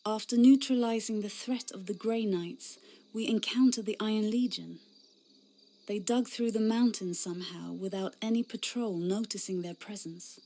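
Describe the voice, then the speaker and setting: A zealous young female inquisitor, played by a woman, earnest and formal in every line. Her tone is mellow and soft-edged rather than bright. It sounds like game cutscene voice acting. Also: mid-range voice, moderate pace, lightly acted. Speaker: narrator and game character.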